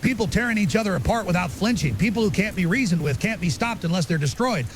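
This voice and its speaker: A man's voice: high voice, animated, fast talker, music in the background. A man playing a grim, urgent conspiracy theorist as a guest on an apocalypse podcast drama, emphatic and ominous in clipped, certain statements. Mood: grim, insistent. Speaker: interview guest. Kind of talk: fictional apocalypse podcast interview. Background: rain and faint hiss.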